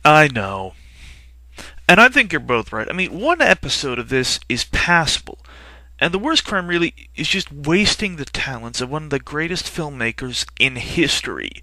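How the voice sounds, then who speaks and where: A man's voice: fairly high pitch, big pitch swings, moderate pace, bright, forceful tone, dry recording. A man giving sarcastic review commentary in a teasing, emphatic way. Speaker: comic reviewer.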